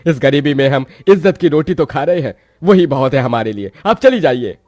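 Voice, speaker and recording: Indignant, dignified poor father male narrator doubling, cartoon tale, raised pitch, dry with music bed. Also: lively intonation, normal speed, darkish tone, dry recording. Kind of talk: cartoon moral story dialogue. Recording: music behind the voice.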